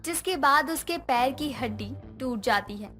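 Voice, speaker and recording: Female narrator for moral stories, high register, light music bed. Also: animated, a little slow, sharp tone. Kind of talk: scripted story narration.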